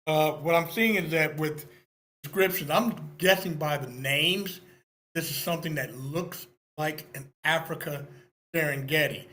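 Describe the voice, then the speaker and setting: A man giving casual critique on an online call, halting as he thinks aloud. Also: fairly high pitch, bright, forceful tone. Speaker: participant giving writing feedback.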